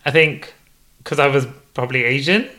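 A man's voice: mid-range voice, normal speed, bright, forceful tone. A man in his thirties from the United Kingdom, a podcast guest who laughs often and fills with ums. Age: 30s. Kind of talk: casual interview.

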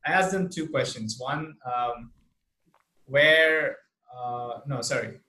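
A man from India hosting an interview, telling a story in a lively, chatty way. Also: mid-range voice, takes it slowly. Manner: animated storytelling, quoting others. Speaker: interview host. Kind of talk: casual interview chat. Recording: echoey room.

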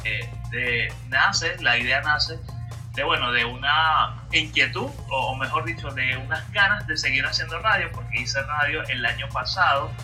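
Young Venezuelan male podcast host: very bright tone, somewhat distant from the mic. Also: fairly high pitch, moderate pace, soft background music. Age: 20s. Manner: chatty, self-correcting, animated. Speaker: podcast host and radio announcer. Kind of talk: casual podcast chat.

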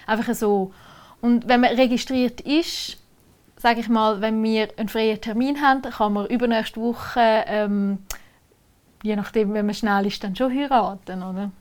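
A woman's voice: on the high side, steady tone, measured pace, darkish tone. Swiss German woman explaining procedures. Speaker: civil registrar. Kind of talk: casual interview answers. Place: Switzerland.

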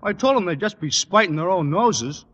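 An actor playing a dim, good-natured high school football player in old radio comedy, slow-witted and ungrammatical, mangling big words. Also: male voice, lively intonation. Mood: aggrieved, earnest. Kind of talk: 1940s-50s radio comedy acting. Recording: muffled, noisy old radio recording, reverberant.

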